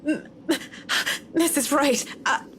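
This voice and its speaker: A woman's voice: high voice, slow pace, slight background noise. An actress playing a shaken, guilt-ridden, apologetic young woman in a horror game, hesitant in manner. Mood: shaken, polite.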